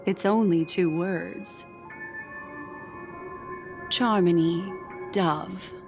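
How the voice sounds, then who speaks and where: A woman's voice: fairly low pitch, fairly even intonation, extremely slow, over music. A woman playing a poised, needling envoy in a video game, speaking in a theatrical, knowing, condescending way. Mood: knowing, composed. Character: poised, needling female envoy and exposer of lies.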